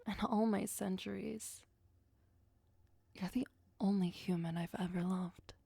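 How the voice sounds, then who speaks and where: A woman playing a possessive, sweetly menacing vampire girlfriend who is centuries old, in a soft, intimate ASMR roleplay, mostly whispered and close to the microphone. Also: mid-range voice, steady tone, unhurried, dry recording. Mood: possessive, tender. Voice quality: breathy, soft. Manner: coaxing, darkly playful, obsessive. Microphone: close, dry microphone.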